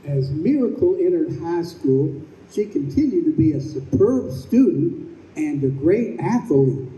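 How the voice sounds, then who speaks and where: A man narrates a radio-style drama at an unhurried pace. His tone is very mellow and a little high, heard from a distance in an echoey room. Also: sing-song, highs cut off. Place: convention room stage performance.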